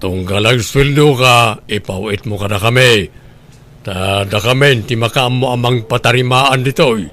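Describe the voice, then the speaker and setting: An actor playing a pushy, scheming husband who lectures and demands, in an Ilocano radio drama. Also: male voice, medium pitch, measured pace, fairly bright tone, lightly acted. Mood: demanding. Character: Lando, pushy scheming husband, demanding money from brother-in-law.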